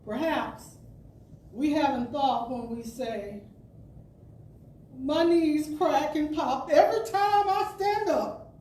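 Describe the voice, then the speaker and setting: A woman with a fairly high, mellow voice reads an inspirational talk aloud. She goes very slowly, with deliberate phrasing and expressive pauses, in the manner of a storyteller. The sound is roomy and a little distant from the microphone. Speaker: storyteller reading a spiritual radio talk. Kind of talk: dramatic inspirational reading to an audience. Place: indoor spiritual center hall. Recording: roomy, distant mic with faint noise.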